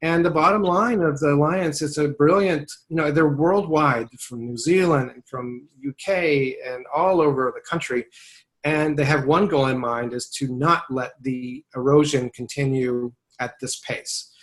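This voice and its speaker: A man's voice: fairly high pitch, unhurried, low-quality recording. A man working as a voiceover agent, talking in a casual webinar conversation, thoughtful and rambling, often restarting his sentences.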